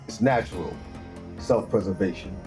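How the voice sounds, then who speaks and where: A man's voice: lowish voice, moderate pace, mellow, faint music behind, natural voice. A man reading the part of a calculating militia boss at a table read, terse and probing. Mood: pressing.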